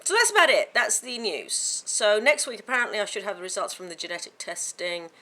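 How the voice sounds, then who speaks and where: A woman vlogging to camera from home, with a croaky voice from a sore throat, chatting in a rambling way with many fillers and restarts. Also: mid-range voice, big pitch swings, a little fast, sharp tone. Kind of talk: casual chat to camera.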